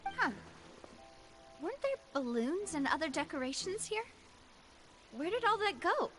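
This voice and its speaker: A cocky playful young woman, high and lively, music behind. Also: unhurried, exaggerated voice. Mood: curious. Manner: confident, playful, laughs easily. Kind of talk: game cutscene voice acting. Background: game music.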